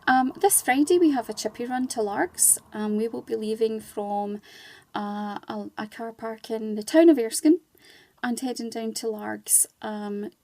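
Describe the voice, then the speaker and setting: A woman's voice: fairly high pitch, a little slow, warm tone, no room sound. A woman talking conversationally as a guest in a radio interview, explaining things in an earnest way. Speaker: guest, charity volunteer and community ambassador.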